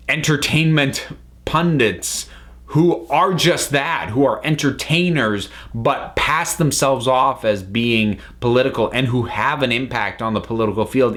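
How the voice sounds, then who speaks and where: A man's voice: medium pitch, fairly bright tone. A man giving an unscripted review as a commentator, talking analytically and often stopping to rephrase himself. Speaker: reviewer, channel host.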